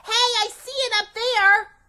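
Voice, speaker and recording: A woman voicing a chatty, imaginative, bossy little girl in an animated children's storybook read-aloud, with childish, emphatic phrasing. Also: extremely high pitch, measured pace, pitched above the performer's own voice, full character voice. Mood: excited.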